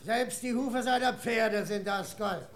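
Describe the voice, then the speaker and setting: A weary old man in a radio drama. His voice is high and crackles with gravel. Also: unhurried. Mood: wry. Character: weary old male coffeehouse regular. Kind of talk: German radio drama acting.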